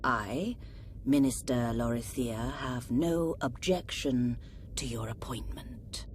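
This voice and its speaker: A woman playing a cold, scheming government minister in a video game, formal, measured and insinuating. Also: very deep voice, a little hiss or hum. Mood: sly, deferential. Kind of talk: dramatic video game voice acting.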